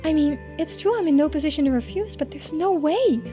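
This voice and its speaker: Very high-voiced young heroine acted by a woman, visual novel, sweet, anxious and earnest, music behind. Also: lively intonation, fairly quick, mellow. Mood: worried. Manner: earnest, hesitant, pleading. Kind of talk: visual novel character voicing.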